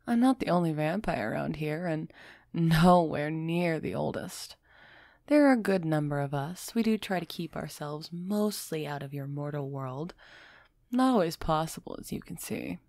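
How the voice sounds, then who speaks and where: A woman playing a refined, centuries-old female vampire in an intimate audio roleplay, gently imperious and softly teasing as she speaks straight to the listener. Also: low-pitched, animated, no echo, clean studio sound. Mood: measured, intrigued. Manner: slow, measured, softly teasing, addressing listener directly.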